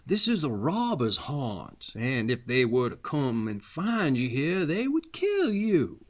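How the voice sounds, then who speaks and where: A man's voice: medium pitch, wildly swooping pitch, takes it slowly, exaggerated voice. A man voicing anxious, protective enchanted brothers in a fairy tale, with earnest, emphatic warnings. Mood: urgent warning.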